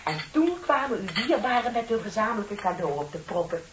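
An actress playing a chatty, flattering office secretary in her thirties in a radio play, with a polite, effusive manner. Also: medium pitch, brisk, lightly acted. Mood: admiring. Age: 30s. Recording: dull, noisy old radio recording.

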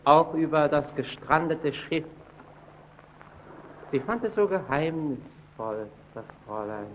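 A sly, resentful lighthouse keeper in a vintage radio play. His voice sits high and swings widely as he insinuates and dodges. The recording is dull and hissy. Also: animated, slow pace. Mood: sly, needling. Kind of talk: old German radio play acting.

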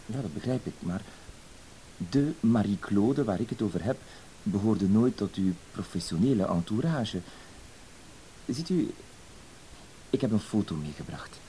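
A man's voice: fairly low pitch, animated, a little slow, long pauses, warm tone, low-quality recording. An actor in a radio play playing a timid, apologetic man who speaks with hesitant, stammering, insistent politeness. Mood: politely insistent. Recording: hissy old radio play recording.

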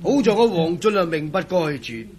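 A man's voice: sits high, very animated, fairly quick. An actor playing a jovial, boastful hard-drinking engineer in a Cantonese radio drama, often laughing as he talks. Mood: boastful, merry. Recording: crowd chatter behind voice.

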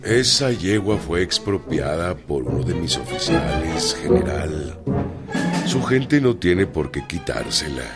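Deep-voiced, defiant Mexican revolutionary general. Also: male voice, sing-song, measured pace, exaggerated voice. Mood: firm, defiant. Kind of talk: historical radio drama acting. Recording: music behind the voice.

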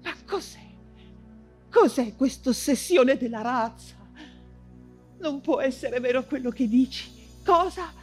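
An ailing, imprisoned woman writer in a stage monologue. Her voice is high and anguished, and she speaks slowly and haltingly, repeating herself as if fevered. Faint music plays underneath. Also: lively intonation, breathy edge, heavily acted. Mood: horrified. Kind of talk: dramatic stage monologue in Italian theatre.

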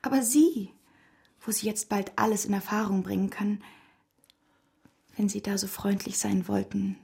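A coquettish society lady in a radio drama. Her voice is husky and creaky. She pleads theatrically, playing helpless and seductive, sometimes sinking to a breath. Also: medium pitch, steady tone, unhurried, a little mellow. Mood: pleading, seductive. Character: flirtatious, wheedling society lady feigning helplessness. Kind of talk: German radio drama acting.

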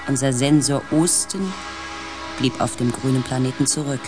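Low-voiced, grave female space pilot. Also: normal speed, over music. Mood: grave, resigned. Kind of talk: radio play acting, dramatic first-person narration.

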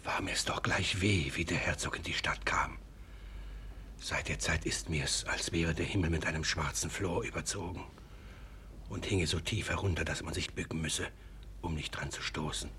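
A man's voice: extremely low pitch, fairly even intonation, normal speed, sharp tone. An actor playing a fearful, gloomy townsman with a heavy, declamatory delivery, in a 1940s German radio play. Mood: gloomy dread. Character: fearful, gloomy male townsman, loyal and easily outraged. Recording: slightly noisy old radio recording.

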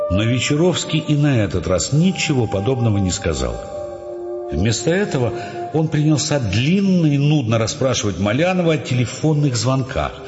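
Low, animated narrator in reverberant sound. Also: male voice. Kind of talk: dramatic scripted audiobook narration. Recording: echoey reverberant dull sound over clear music.

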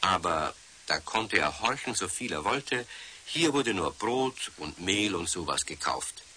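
A man playing the storyteller of a children's radio play, narrating in an animated scripted style. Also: sits low, unhurried, heavily acted. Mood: storytelling. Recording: faint hiss of 1970s radio recording.

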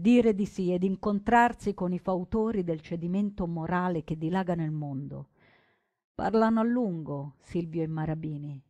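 A woman narrating a story in the first person as a stage actress, solemn and deliberate, with dramatic pauses. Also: fairly low pitch, a little mellow. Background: acoustic guitar music.

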